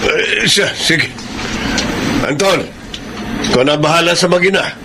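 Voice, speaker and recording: A man's voice: fairly high pitch, measured pace, gravelly, noisy background. A man playing a sorrowful, loving old grandfather in a Filipino radio drama. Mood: sad, resigned.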